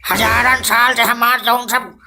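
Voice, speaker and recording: A woman's voice: on the high side, fairly even intonation, sharp tone, slight background noise. A woman playing a stubborn, scornful old male village patriarch in a comic cartoon, with an Awadhi accent and indignant, sarcastic scolding. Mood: scornful grumbling. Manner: indignant, sarcastic scolding with drawn-out rhetorical questions.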